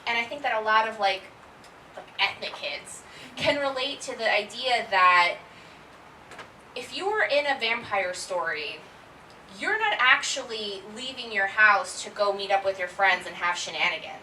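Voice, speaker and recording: A woman's voice: mid-range voice, animated, moderate pace, pushed and bright, slight background noise. A woman born in Ecuador and raised in Queens, speaking as an author on a panel, chatty and thinking aloud. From Ecuador, raised in Queens, New York. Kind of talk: casual panel talk. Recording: echoey room, from a distance.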